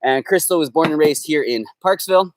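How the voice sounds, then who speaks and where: A man's voice: on the high side, a little fast, a little hiss or hum. A young Canadian man hosting a casual live Q&A from his backyard, upbeat and rambling with fillers. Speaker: host. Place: backyard, outdoors.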